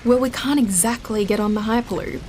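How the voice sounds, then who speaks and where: An actress playing a calm, enigmatic female ghost who reasons matter-of-factly in a scripted audio drama. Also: a little high, brisk, a little hiss or hum, natural voice.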